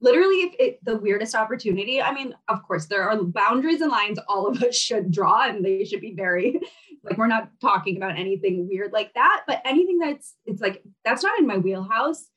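A woman's voice: mid-range voice, lively intonation, brisk, a bit bright, husky, far from the mic, muffled recording. A young woman from Kazakhstan, Russian her first language, chatting as an interview guest with many fillers and laughs. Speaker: guest, fashion brand founder. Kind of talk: casual interview chat.

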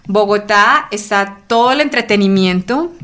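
A woman's voice: medium pitch, normal speed, a bit bright. A woman in her thirties who grew up in Colombia, chatting enthusiastically as a podcast guest. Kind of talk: casual interview.

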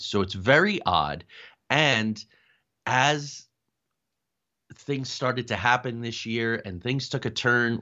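Low-voiced man telling stories, dull recording. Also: fairly bright tone. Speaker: guest, paranormal investigator. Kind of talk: conversational interview storytelling.

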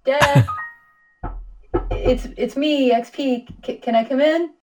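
A man's voice: slow, music in the background. A man voicing an old operating system as a small timid child calling for its dad. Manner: meek, giggly, high-pitched.

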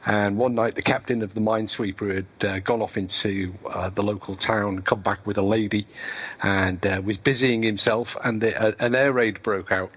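A man's voice: on the low side, steady tone, normal speed, fairly bright tone, breathy edge. A man from near Liverpool with an English accent, co-hosting a radio talk show and telling stories in a chatty way. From near Liverpool, England. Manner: chatty storyteller. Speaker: co-host. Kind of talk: casual radio chat. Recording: dull lo-fi sound.

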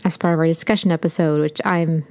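A woman with a slightly low voice talks about books in an easy, chatty way. She is enthusiastic and scatters fillers through her sentences as a podcast co-host. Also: fairly even intonation, fast, warm tone. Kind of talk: casual book chat.

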